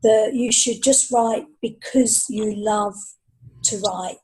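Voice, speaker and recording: A woman with a fairly high, husky voice and a mellow tone gives casual advice on a podcast panel. She comes across as earnest and encouraging. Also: fairly even intonation, slow pace, poor audio. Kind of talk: casual panel talk giving writing advice.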